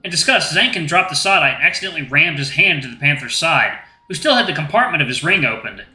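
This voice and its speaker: A man's voice: on the high side, lively intonation, fast, bright, forceful tone. A man hosting a gaming channel, reading a visual novel aloud with casual asides to viewers. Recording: echoey room, faint music.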